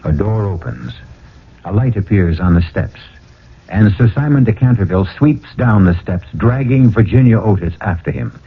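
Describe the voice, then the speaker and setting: A man's voice: fairly low pitch, animated, normal speed, breathy edge. A man hosting and narrating a scripted radio mystery drama in a theatrical, suspenseful way. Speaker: host and narrator. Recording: tinny old radio broadcast, music behind. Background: music.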